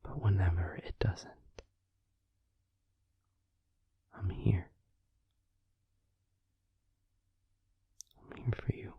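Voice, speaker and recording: Breathy whispering gentle vampire boyfriend, acted by a man. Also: low-pitched, dry recording, highs cut off, heavily acted. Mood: tender, soothing. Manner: slow, tender, soothing, reassuring. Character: gentle, devoted vampire boyfriend comforting his lover. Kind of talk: intimate whispered ASMR comfort roleplay. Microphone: close microphone, dry.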